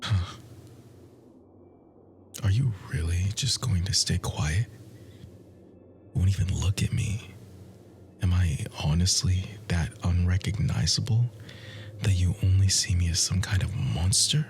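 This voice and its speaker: A man playing a bitter, obsessive immortal monster spurned by a lover, in a breathy, theatrical ASMR roleplay monologue. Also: low-pitched, soft background music. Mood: menacing tenderness. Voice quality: breathy, hushed, whispery. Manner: slow, menacing, theatrical, intimate.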